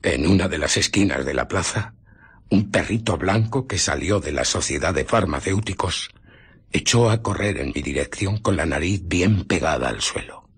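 An actor playing a bitter, haunted scientist and fugitive, recounting his ordeal in a radio play with theatrical, deliberate pauses. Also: sits low, lively intonation, breathy edge, exaggerated voice. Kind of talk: dramatic radio play narration.

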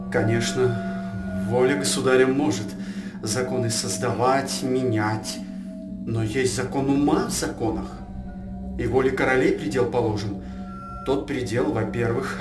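An actor playing a cautious, legalistic royal chancellor in verse radio drama, declaiming formally and deliberately. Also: male voice, mid-range voice, natural voice. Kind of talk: classical verse stage drama. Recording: roomy, distant radio-play recording.

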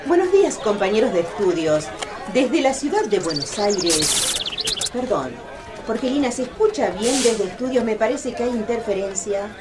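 Actress as eager female roving radio reporter, very animated intonation, busy crowd behind. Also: on the high side, normal speed, lightly acted. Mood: bright, eager. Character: chirpy female radio field reporter in 1810. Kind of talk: radio play, live news report.

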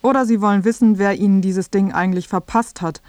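A woman's voice: medium pitch, steady tone, fairly quick, heavy background noise. A woman narrating a scripted radio feature, addressing the listener directly in a wry way.

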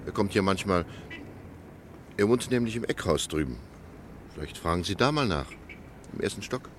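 Obliging deep-voiced bartender. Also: male voice, expressive, normal speed, a little hiss or hum. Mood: obliging, careful. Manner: obliging, colloquial, noncommittal. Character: cautious, obliging male bartender. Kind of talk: German radio play acting.

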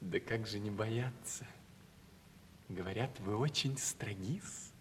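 A man's voice: on the low side, takes it slowly. An actor playing an obsequious, simple young man in a radio play, speaking in a servile, naive, theatrical way. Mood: timid, ingratiating. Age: young adult. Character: obsequious, eager, simple young man courting his patroness. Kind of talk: theatrical 1970s Russian radio play acting. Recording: reverberant, noisy archival radio drama recording.

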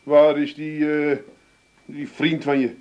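A middle-aged actor with an Amsterdam accent playing a hot-headed, jealous husband in a radio comedy, blustering and stammering. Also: male voice, fairly high pitch, completely flat delivery, slow, darkish tone, lo-fi sound. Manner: blustering, stammering, theatrical outbursts. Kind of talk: comic radio play acting.

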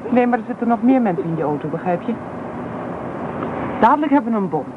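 An actress playing a lively, motherly young woman in a radio drama. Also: a little high, quick pace, natural voice. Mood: chiding. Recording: noisy, tinny radio outdoor scene.

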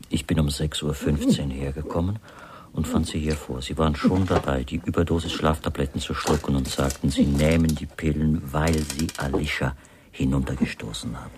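An actor playing a coldly methodical, mocking murderer in a radio crime drama, speaking deliberately and insinuatingly, often dropping to a murmur. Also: male voice, rumbling low, a little mellow, slight background noise. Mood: mocking, coaxing. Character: coldly methodical murderer, American writer husband, mocking and controlled. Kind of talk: German radio crime drama acting with interior monologue.

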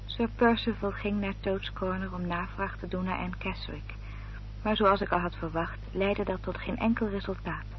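A woman playing a resolute, guarded Victorian gentlewoman in a Dutch radio drama, speaking in a measured, formal, articulate way. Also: medium pitch, steady tone, natural voice. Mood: composed, narrating. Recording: dull old radio recording, hum and noise.

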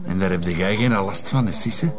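A man's voice: low-pitched, moderate pace, a crowd in the background, natural voice. An actor playing a stressed, curt man making shady deals, with a Flemish accent, in a naturalistic TV drama. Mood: tense, curt.

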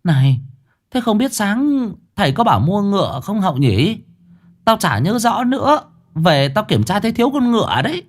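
A man's voice: high-pitched, very animated, moderate pace, voice pushed up. A man voicing a nervous elderly widowed mother, who stammers and exclaims. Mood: puzzled.

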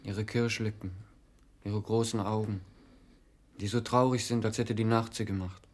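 A man's voice: on the low side, steady tone, normal speed, lightly acted. An actor playing an ardent, naive young postman in a German radio drama, speaking earnestly and plaintively in poetic phrases. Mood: lovesick, trembling. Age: young adult.

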